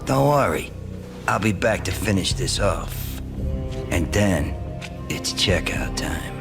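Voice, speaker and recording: An actor playing a sadistic mob thug who taunts in a gleeful, menacing way. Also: male voice, low voice, expressive, slow pace, creaky, heavily acted. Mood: taunting. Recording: game soundtrack music behind.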